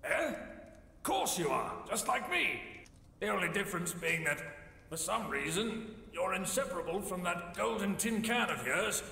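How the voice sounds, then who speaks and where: A man voicing a playful, cranky old ghost grandfather in a video game, with a creaky elderly voice and theatrical, teasing talk. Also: sits high, big pitch swings, a little slow, sharp tone, full character voice. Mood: mocking, playful. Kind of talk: video game character acting. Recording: reverberant hall echo.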